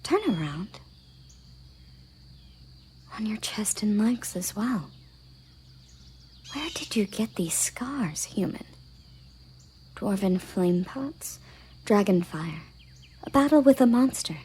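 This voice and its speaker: A woman playing a proud, commanding elven guard captain in a scripted roleplay audio drama, soft and often half-whispered, with formal, deliberate phrasing. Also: mid-range voice, expressive, slight background noise. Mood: stern, then shocked, demanding.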